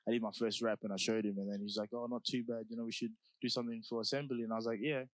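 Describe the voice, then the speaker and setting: A man's voice: mid-range voice, level tone, rapid-fire, no room sound. A young man from South Sudan, talking about his music in a laid-back, slangy way, full of "like" and "you know". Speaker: rapper guest. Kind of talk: casual interview.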